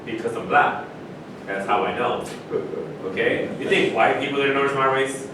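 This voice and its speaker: A man's voice: moderate pace, close to an everyday voice. Man acting cocky sports star in echoey room. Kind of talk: staged reading of a play. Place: echoey room at a live staged reading. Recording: very echoey room, distant mic, loud rumbly noise.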